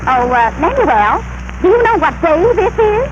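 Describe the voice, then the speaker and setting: A woman's voice: very high-pitched, big pitch swings, moderate pace. A woman playing a long-suffering farm wife and mother in a 1930s radio comedy sketch, with dry comic comebacks on a muffled broadcast with hiss.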